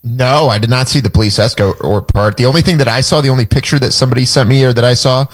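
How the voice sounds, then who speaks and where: A man's voice: medium pitch, fast. An American man co-hosting live radio, chatting casually and passing on comments from listeners. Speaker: radio show co-host.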